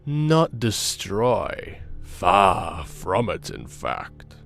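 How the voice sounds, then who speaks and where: A man voicing a sly, smug sea villain in an animated fan dub, theatrical and taunting as he savors his words. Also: on the low side, very slow, creaky, faint music behind, exaggerated voice.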